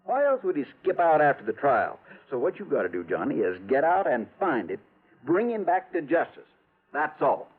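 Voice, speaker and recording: An actor playing a folksy, excitable small-town insurance man in an old-time radio drama. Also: a little high, normal speed, breathy edge, heavily acted. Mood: urgent. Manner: folksy, colloquial, emphatic. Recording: tinny, noisy vintage radio recording.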